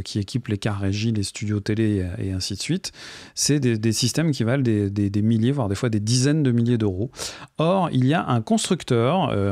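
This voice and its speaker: A man's voice: medium pitch, vocal fry, darkish tone, no room sound, clean studio sound. A man giving a casual, enthusiastic product review to camera in an informal, colloquial way. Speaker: video creator reviewing gear.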